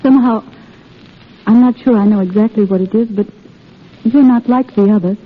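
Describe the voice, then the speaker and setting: A woman playing a wistful young nurse, husky. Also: medium pitch, moderate pace, lightly acted. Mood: guarded, curious. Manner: hesitant, wistful, earnest. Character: wounded, guarded young wartime nurse falling tenderly in love. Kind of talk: 1930s radio drama acting. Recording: muffled tinny old radio recording, faint music.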